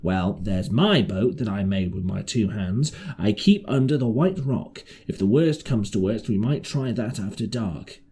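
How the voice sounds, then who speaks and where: Man acting a husky, rambling marooned sailor. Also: on the low side, lively intonation, unhurried, few pauses, mellow, heavily acted. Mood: eager, rambling. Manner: rustic, ungrammatical sailor talk, repeating himself. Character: marooned old seaman, shrewd, rambling, half-crazed castaway. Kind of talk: audiobook character voice.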